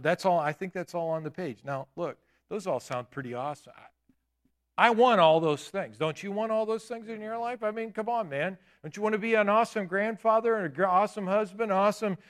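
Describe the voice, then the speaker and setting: A man in his late fifties preaching a sermon in a church, with emphatic declarations and quieter asides. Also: fairly high pitch. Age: 50s. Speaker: pastor. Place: church sanctuary.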